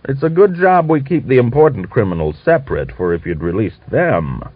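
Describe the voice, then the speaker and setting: Genial, blustering Cossack officer played by a male narrator, cajoling and pitched above his narration, lo-fi audiobook sound. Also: very animated, moderate pace, slightly rough, no room sound. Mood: genial scolding. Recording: dull lo-fi audiobook recording.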